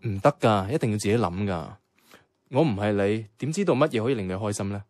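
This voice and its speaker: An actor playing a young male office worker with a Hong Kong Cantonese accent, teasing and gently encouraging in a radio drama. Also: fairly low pitch, expressive, quick pace, dry recording, clean studio sound, lightly acted. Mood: gentle, encouraging. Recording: music behind the voice.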